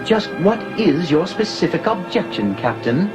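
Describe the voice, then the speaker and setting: A fussy golden protocol droid whose pitch swoops up and down as he talks. He is prim and very proper, and music plays behind him. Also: sing-song, a little slow.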